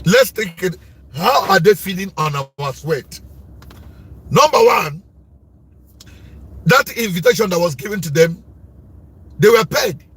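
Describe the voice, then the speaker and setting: Loud, husky-voiced Nigerian man ranting. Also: sits high, expressive, unhurried, pauses often. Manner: forceful, repetitive, preaching tone. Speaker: commentator. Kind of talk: impassioned political commentary.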